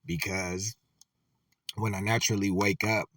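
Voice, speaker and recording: A man's voice: lowish voice, steady tone, a little slow, a bit bright, dry recording, studio quality, recorded from a distance. A man giving loose, conversational reaction commentary, full of filler words.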